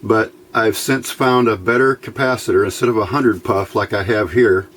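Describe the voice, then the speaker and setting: A man giving a casual, step-by-step hobby electronics tutorial, unhurried and thinking aloud as he works. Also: fairly low pitch, a bit bright. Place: indoors at a workbench by a window. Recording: faint background noise.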